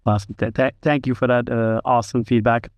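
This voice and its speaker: Casting note: a male interviewer, a smooth voice in a dry, close recording, stumbling gently through his questions. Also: medium pitch, level tone, a little fast, no room sound, muffled recording. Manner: hesitant, many "uh" fillers. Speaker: podcast host.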